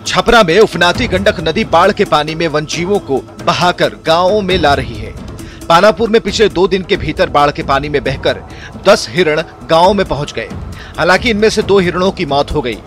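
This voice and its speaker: A man's voice: fairly high pitch, animated, a bit bright, faint music behind. Man reading a formal news report. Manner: formal, measured news read. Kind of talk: scripted news narration.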